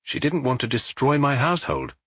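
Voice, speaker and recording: Distraught middle-aged French industrialist, male reader acting, proud and defensive, wheezy, husky delivery for detective audiobook. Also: medium pitch, sing-song, a bit bright, no room sound, clean studio sound, pitched above the performer's own voice. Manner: halting, defensive answers.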